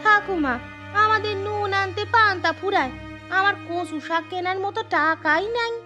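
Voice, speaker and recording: A woman's voice: extremely high pitch, measured pace, over music. A woman voicing a poor, plain-spoken young village housewife in a cartoon, chatty and plaintive. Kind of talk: Bengali moral-story cartoon dubbing.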